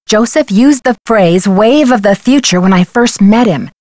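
A woman's voice: mid-range voice, animated, moderate pace, darkish tone, no room sound, clean studio sound, natural voice. A woman playing an earnest, inquisitive young amateur detective in a video game, speaking in an articulate way. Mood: earnest, curious. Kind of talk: video game dialogue acting.

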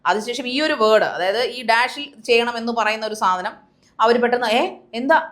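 A woman's voice: fairly high pitch, moderate pace, fairly bright tone. A woman giving conversational, emphatic commentary as a discussion panelist, mixing in English words.

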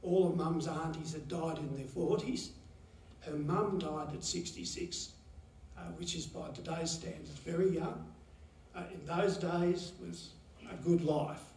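A man gives a halting, pause-filled eulogy for his mother at a chapel lectern. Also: a little high, fairly even intonation, vocal fry, darkish tone, a little hiss or hum. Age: 70s. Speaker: son giving a eulogy. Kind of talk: memorial eulogy. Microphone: lectern mic, heard from a far camera. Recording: roomy chapel echo from far off.

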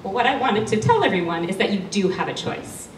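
A Canadian woman in her thirties with a loud voice, giving a passionate talk with a handheld mic to a small audience. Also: medium pitch, animated, fairly quick. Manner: passionate, emotional, laughs often. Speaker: guest speaker, cosmetics maker. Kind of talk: public talk at a panel event. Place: small studio event room with an audience. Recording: roomy sound from a far camera, faint hum.

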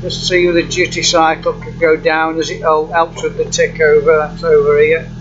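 Man over loud engine hum, muffled. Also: a little high, monotone. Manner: halting, unscripted, matter-of-fact. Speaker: software demonstrator. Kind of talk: informal screen-recorded software walkthrough. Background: running car engine hum.

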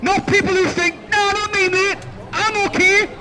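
Open-air preacher, high flat shouting, slow, crowd and music around. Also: male voice, a little hiss or hum. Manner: shouting, drawn-out, monotone. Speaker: street preacher. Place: outdoor city street. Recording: busy street with music and crowd.

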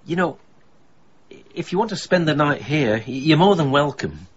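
Radio drama: grieving older male widower played by an actor, homely, wistful, very animated pitch, dull noisy recording. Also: moderate pace, close to an everyday voice. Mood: fond, chatty.

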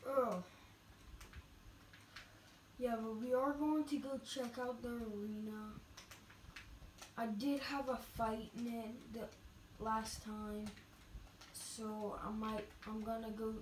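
A woman's voice: fairly high pitch, flat delivery, slow pace, pauses often, a little mellow. Roomy, far-off young-sounding scratchy female gamer. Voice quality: slightly scratchy. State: getting over being sick, voice scratchy. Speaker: gamer narrating gameplay. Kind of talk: casual gameplay commentary. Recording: distant roomy mic, music behind.

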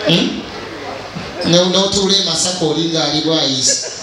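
A man with a Ugandan accent doing live comedy banter, teasing and often shouting to a crowd. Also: fairly high pitch, normal speed, far from the mic. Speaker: stand-up comedian. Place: open-sided night venue with stage. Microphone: handheld microphone through PA. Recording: echoey PA from afar, loud music and crowd.

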